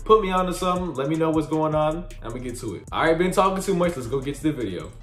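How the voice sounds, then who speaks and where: A man with a lively, swooping voice gives casual reaction commentary in a slangy, conversational way. He sounds a little roomy, with faint music behind him. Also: on the high side, very fast. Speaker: host of a reaction video channel.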